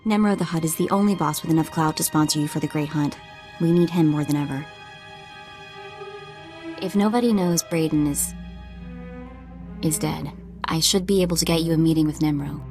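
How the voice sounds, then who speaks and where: A woman's voice: low voice, normal speed, long pauses, a little mellow, soft background music. A woman voicing a determined, grieving bounty hunter's handler in a video game, earnest and urgent in manner. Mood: urgent, resolute.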